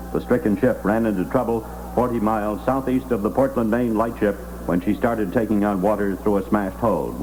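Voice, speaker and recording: A man's voice: fairly low pitch, darkish tone, slight background noise, low-quality recording. A man working as a radio newscaster, reading scripted news on a 1960s AM broadcast.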